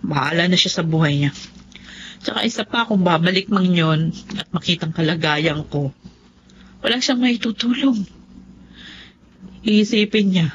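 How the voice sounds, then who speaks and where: A woman's voice: lowish voice, expressive, a little slow, long pauses. Bitter, resigned abandoned mother. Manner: resigned, embittered. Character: bitter abandoned mother losing her sight. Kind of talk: radio drama acting. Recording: muffled, faintly noisy radio recording.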